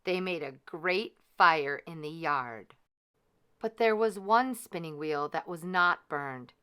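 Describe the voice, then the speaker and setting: A woman narrates a children's picture book with expressive, measured drama. Her voice is fairly low, smooth and bright, and she takes her time over each line. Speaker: storyteller reading a picture book aloud.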